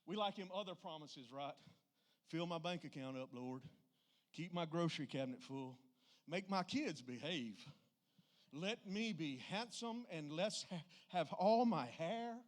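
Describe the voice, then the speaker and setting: Higher-pitched male preacher, emphatic and folksy. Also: animated, a little slow. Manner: emphatic, folksy, rhetorical questions to listeners. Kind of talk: informal church sermon.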